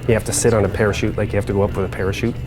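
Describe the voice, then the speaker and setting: A man with a low voice answers interview questions informally. He explains things in loose, hesitant phrases, with music playing clearly behind him. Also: little pitch movement, rapid-fire. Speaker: air traffic controller guest.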